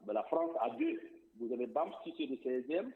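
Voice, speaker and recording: A man's voice: fairly high pitch, huge pitch swings, fairly quick, gravelly. A man speaking as a diaspora representative on a political radio show, outspoken and emphatic, sometimes repeating words as he thinks. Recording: tinny phone-line sound.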